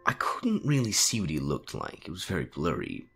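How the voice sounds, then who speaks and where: A man acts out a calm, wise older wizard headmaster in an audio story. The voice is very deep and creaky, speaking in measured, sober phrases that rise and fall expressively. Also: faint music behind, heavily acted. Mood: thoughtful. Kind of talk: dramatic fan fiction audio reading.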